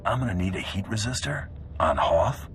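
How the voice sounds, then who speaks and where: An actor playing a cool, tough bounty hunter in a video game, laconic, calm and hard-boiled. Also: male voice, fairly low pitch, normal speed, slight background noise. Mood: puzzled. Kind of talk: video game character acting. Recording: music behind the voice.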